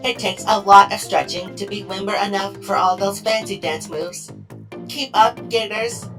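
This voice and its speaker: A woman playing a brisk, proper secret agency guide in a cartoon fan dub, formal and matter-of-fact. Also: medium pitch, steady tone, creaky, lightly acted. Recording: music under the voice.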